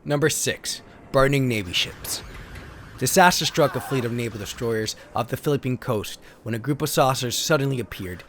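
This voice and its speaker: A man's voice: medium pitch, moderate pace, a bit bright, a little hiss or hum. A man reading a retro sci-fi story as a theatrical, solemn narrator. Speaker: host and reader.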